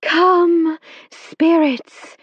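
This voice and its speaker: Showy female medium, voiced by a woman: high, faraway, dramatic, husky. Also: expressive, slow pace, a little mellow, no echo, highs cut off, heavily acted. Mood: entranced. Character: theatrical female spirit medium, commanding.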